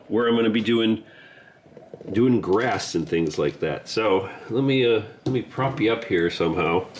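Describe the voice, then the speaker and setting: A man's voice: deep voice, slight background noise. A man from Southern California with a congested voice from the flu, chatting casually and rambling with many fillers while working on his model railroad layout at home. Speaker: model railroad hobbyist host. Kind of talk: casual hobby vlog.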